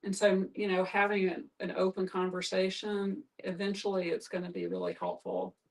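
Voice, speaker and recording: A woman's voice: mid-range voice, little pitch movement, normal speed. A woman explaining things in a group discussion, as a facilitator would. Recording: distant microphone.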